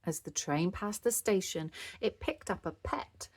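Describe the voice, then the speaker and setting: A woman's voice: mid-range voice, animated, measured pace, husky. A woman reading a rhyming children's story aloud with expression. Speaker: storybook reader.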